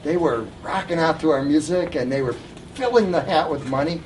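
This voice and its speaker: A man's voice: on the high side, fairly quick, darkish tone, distant mic, a little hiss or hum, low-quality recording. An older man telling informal anecdotes to a live audience, recorded at an event. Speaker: guest storyteller at a reunion event.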